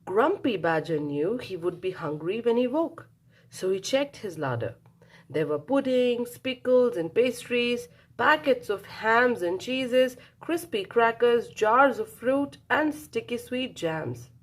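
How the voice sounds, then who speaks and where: Slow, animated woman reading to children. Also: a little high. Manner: dramatic, engages listeners with questions. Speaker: children's storybook reader. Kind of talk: expressive read-aloud for young children.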